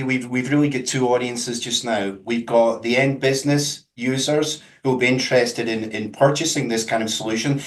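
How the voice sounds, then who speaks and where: A man's voice: mid-range voice, echoey room. A man from Scotland with a Scottish accent, explaining things in a conversational webinar with emphatic, jokey asides over a video call. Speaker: webinar guest speaker. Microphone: webcam with mic on a video call.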